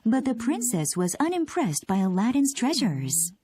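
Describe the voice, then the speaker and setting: Lively woman narrating a children's story. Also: a little high, normal speed, husky, no room sound. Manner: expressive storytelling.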